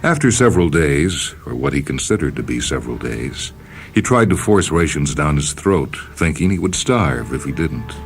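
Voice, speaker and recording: A man reading a short story as a dramatic, measured and expressive narration. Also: very deep voice. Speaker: reader of short stories for a radio program. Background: music.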